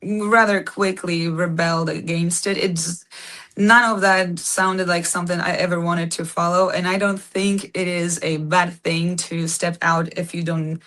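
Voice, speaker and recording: Woman with a low voice. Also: level tone, measured pace, fairly bright tone. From Poland. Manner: frequent "uh" and "um", searching for words. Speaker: band singer, guest. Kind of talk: casual interview chat.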